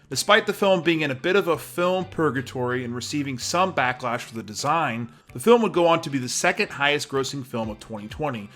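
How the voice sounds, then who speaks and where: A man's voice: mid-range voice, fairly bright tone. A man hosting and narrating a scripted film review.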